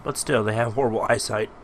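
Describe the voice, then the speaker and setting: A man's voice: mid-range voice, vocal fry, background noise. A man giving casual, wry game commentary in an offhand, muttering way as he plays. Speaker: let's player. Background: game music and sounds.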